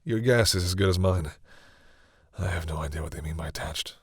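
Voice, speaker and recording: A man playing a proud vampire captor who is awkwardly softening, speaking hesitantly and condescendingly to the listener in an intimate audio roleplay. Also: low-pitched, brisk, slightly rough, dry recording, clean studio sound. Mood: instructive, stern. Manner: hesitant, sighing, wryly condescending toward humans.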